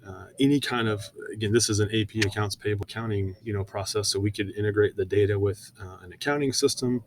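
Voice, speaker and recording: A man presenting software in an informal, conversational screen-share walkthrough, often hesitating with fillers as he explains each step. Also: on the low side, fast talker, noisy background.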